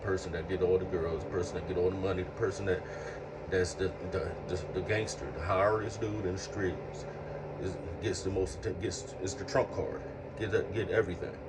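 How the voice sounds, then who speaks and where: A man's voice: low-pitched, little pitch movement, a little fast, far from the mic, heavy background noise. A man in his late thirties speaking in an earnest, reflective monologue, often circling back over his words with repeated phrases. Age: 30s. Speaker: speaker sharing life lessons.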